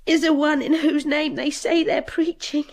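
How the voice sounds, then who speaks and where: Anguished, high-pitched young woman. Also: fairly even intonation, moderate pace. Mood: tearful, insistent. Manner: pleading, tearful, repeating herself. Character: anguished young fallen woman, destitute and defiant. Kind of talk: radio drama acting.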